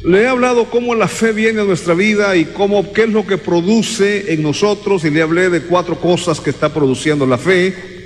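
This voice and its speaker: A man's voice: high-pitched, moderate pace. A man preaching a sermon to a congregation, emphatic and repeating words for stress. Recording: long reverb in a hall, faint music.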